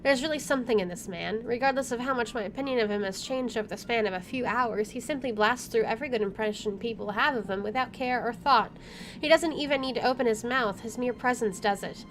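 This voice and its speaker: A woman reads game narration aloud as a let's play host. Her voice is fairly high, she trips over and rereads the odd phrase, and there is faint noise behind her. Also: fast talker, a bit bright. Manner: stumbles over words and rereads them. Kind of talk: reading visual novel narration aloud with side comments.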